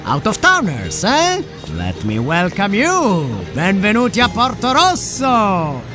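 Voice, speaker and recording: A man's voice: high voice, huge pitch swings, takes it slowly, music in the background, exaggerated voice. A man playing a boastful teenage bully, pompous and mocking, in a storybook read-along. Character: boastful, sneering teenage Italian bully, smugly theatrical.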